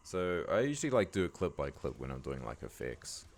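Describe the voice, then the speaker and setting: Croaky, very deep-voiced laid-back man. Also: big pitch swings, a little fast. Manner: laid-back, many "like" and "um" fillers. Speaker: video editing tutor. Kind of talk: casual screen-recording tutorial voice-over.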